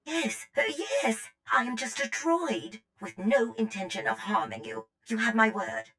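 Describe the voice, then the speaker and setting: A woman voicing a damaged medical droid in a video game, anxious, polite and pleading, with halting delivery. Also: fairly high pitch, creaky, fairly bright tone. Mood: nervous, deferential.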